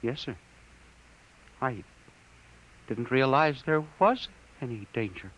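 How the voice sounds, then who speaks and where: A man's voice: mid-range voice, expressive, slow pace, a bit bright. An actor playing a nervous, stammering young male assistant who is deferential to his boss, in 1950s radio drama style. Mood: anxious. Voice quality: stammering, jittery. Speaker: junior employee. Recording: muffled old radio broadcast with faint hiss.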